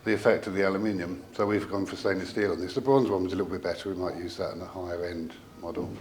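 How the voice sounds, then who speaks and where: A man with a fairly low voice talks through technical equipment informally, as a company representative would. His delivery is halting, often pausing and trailing into asides. There is noticeable noise in the room behind him. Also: level tone. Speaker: audio company representative presenting a product. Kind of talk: informal technical product explanation.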